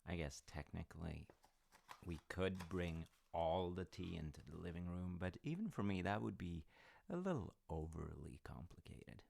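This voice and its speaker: A man playing a gentle, slightly fussy middle-aged dad in an intimate audio roleplay, chatting in a hesitant, musing, softly wry way. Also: sits low, lively intonation, normal speed, gravelly, full character voice. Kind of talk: intimate audio roleplay, improvised-sounding chat.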